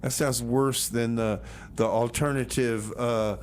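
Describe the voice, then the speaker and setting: A man's voice: mid-range voice, fairly even intonation, measured pace. A man hosting community radio, casually rambling and playfully bantering between songs as he announces what was played. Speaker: radio show host and DJ. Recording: radio studio with background noise.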